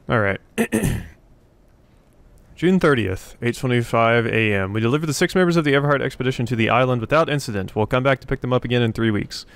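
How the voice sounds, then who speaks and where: A man with a clean, smooth voice at an average pitch hosts a gaming video. He talks casually, working things out aloud as if to himself. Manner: thinks aloud, talking to himself and the game. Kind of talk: casual let's play commentary, reading game notes aloud.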